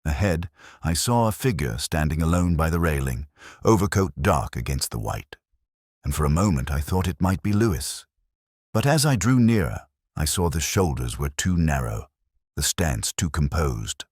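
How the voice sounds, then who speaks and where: A man playing a melancholy detective who recalls a case in measured, literary first-person mystery narration. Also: very deep voice, very animated, slightly rough, dry recording, studio quality, exaggerated voice. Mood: brooding, reflective. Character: melancholy older male English police detective recalling a case. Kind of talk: scripted first-person mystery narration, radio drama style.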